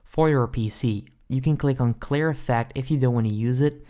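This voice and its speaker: Male tutorial host, mid-pitched, informal, step-by-step instructional delivery. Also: normal speed, mellow. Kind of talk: casual software tutorial narration.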